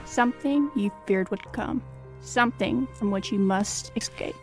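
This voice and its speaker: A woman's voice: mid-range voice, unhurried, darkish tone. A woman introduces a suspense play in old-time radio style. Her mid-range voice is dramatic and foreboding, with faint music playing underneath. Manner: dramatic, ominous.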